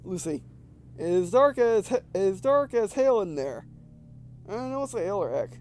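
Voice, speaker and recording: A man reading game text aloud and commenting casually as he plays, halting and stumbling over words, with some background noise. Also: high voice, big pitch swings, darkish tone. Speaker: gameplay video host.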